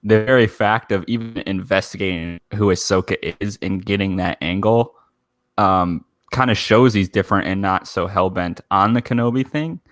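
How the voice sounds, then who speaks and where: A man with a fairly low voice chats as a podcast co-host in a tightly dry recording. He speaks casually and tentatively, often searching for the right words. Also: normal speed, a bit bright, no room sound. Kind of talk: casual fan discussion.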